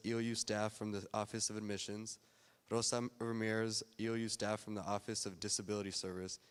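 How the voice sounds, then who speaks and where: A man's voice: fairly low pitch, smooth. A man hosting a university storytelling event, reading a prepared formal welcome. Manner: reads a prepared welcome speech. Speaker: event host. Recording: long hall reverb.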